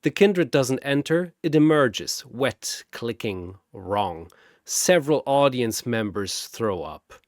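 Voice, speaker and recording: Slow, dramatic male storyteller. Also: medium pitch, no room sound, studio quality. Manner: dramatic, wry, deadpan asides. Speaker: narrator. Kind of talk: scripted narration.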